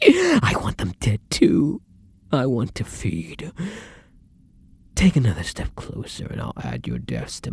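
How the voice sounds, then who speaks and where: A man playing a charming, bloodthirsty vampire lover in an intimate audio roleplay, with a breathy, husky voice that often drops to a whisper and courtly, theatrical endearments. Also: lowish voice, a little mellow, full character voice. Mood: threatening.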